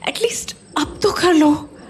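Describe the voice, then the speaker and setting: A woman's voice: high voice, slow, slight background noise. A woman playing a distraught but determined mother in a horror audio drama, earnest, emotional and pleading. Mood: urgent pleading. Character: distraught determined mother haunted by her lost brother.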